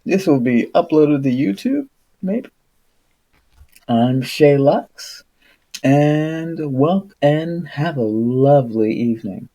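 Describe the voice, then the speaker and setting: Guy on a stream reading out loud n chatting, real slow and mellow, kinda wry. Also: on the high side, animated. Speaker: streamer reading a book aloud. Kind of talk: audiobook-style reading and casual livestream chat.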